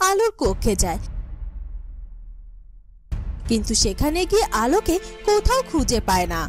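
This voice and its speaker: A woman's voice: high voice, sing-song, moderate pace. A woman narrating a cartoon fairy tale in a scripted storytelling style. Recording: music behind the voice.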